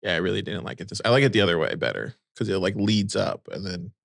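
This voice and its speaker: A man co-hosting a casual podcast, reading posts aloud and chatting in a relaxed, rambling way. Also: deep voice, fast talker, creaky, no echo, studio quality. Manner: relaxed, rambling, reads quotes aloud with fillers. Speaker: podcast co-host. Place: cold room by a window.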